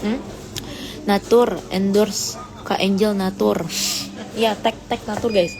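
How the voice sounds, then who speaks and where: A woman's voice: steady tone, takes it slowly, poor audio. A teenage girl hosting a casual phone livestream, reading comments and teasing her viewers playfully. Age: teens. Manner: teasing, playful, rises high when mock-outraged. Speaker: livestream host.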